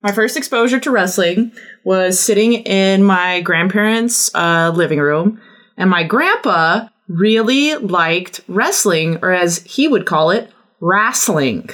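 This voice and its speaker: A woman's voice: medium pitch, expressive, takes it slowly, fairly bright tone. A woman guest on a podcast, telling casual comic anecdotes in a playful, mock-serious way.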